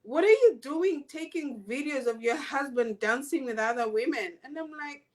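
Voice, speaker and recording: A woman from Zambia, a guest in a casual interview, talking in a relaxed, reflective way and repeating words as she thinks. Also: sits high, moderate pace.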